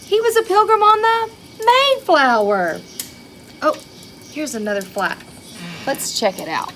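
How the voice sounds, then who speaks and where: Slow-paced woman reading to children. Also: high-pitched, a little hiss or hum. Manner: expressive, child-directed, with rhetorical questions and exclamations. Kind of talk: read-aloud picture-book storytelling with chatty asides.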